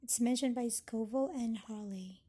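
A woman's voice: a little high, normal speed, dark tone, husky. A young woman from Indonesia, a native Indonesian speaker, talking thoughtfully about learning English and reading points from a handout. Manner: thoughtful, explaining, reads from a handout. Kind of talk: educational podcast talk.

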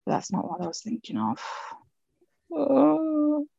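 A woman's voice: high voice, wildly swooping pitch, slow, gravelly, mellow, dry recording, dull audio. A woman co-hosting a podcast in casual chat, rambling and chatty, laughing often. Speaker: podcast co-host.

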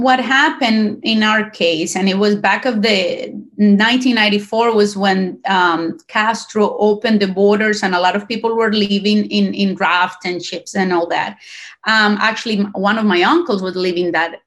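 A middle-aged woman speaks in a medium-pitched voice with a Latin American Spanish accent. She is an interview guest recounting personal experience in her second language. Her delivery is earnest and halting, as she searches for the right words. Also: fairly bright tone. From Cuba, grew up partly in Costa Rica. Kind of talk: personal account in a conversational interview.

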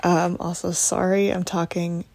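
A woman in her twenties hosting a casual solo podcast from her bedroom late at night, talking low and hushed with lots of ums and restarts. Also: little pitch movement, a little slow, creaky. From United States. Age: 20s. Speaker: podcast host.

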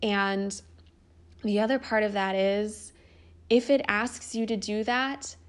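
A young woman hosting a podcast, talking earnestly and encouragingly about life purpose, casual with the odd swear word. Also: mid-range voice. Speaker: podcast host. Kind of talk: spiritual self-help monologue.

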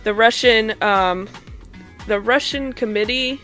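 A woman's voice: a little high, slow pace, a bit bright, faint music behind. A woman co-hosting a podcast, giving a loose, ad-libbed recap of the news in a conversational way. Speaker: podcast co-host reading news segment.

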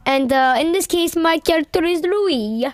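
A woman's voice: very high voice, animated, moderate pace, no echo. A teenage girl talking casually and playfully as a student podcast host, having a go at an amateur Italian accent. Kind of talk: casual chat, posing riddles.

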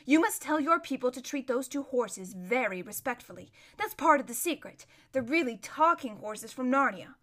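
A woman's voice: high-pitched, animated, moderate pace, hardly any pauses. A woman reading a storybook, playing a proud, serious runaway noble girl with an urgent, imperious manner. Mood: earnest, insistent. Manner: forceful hissing, imperious, earnest.

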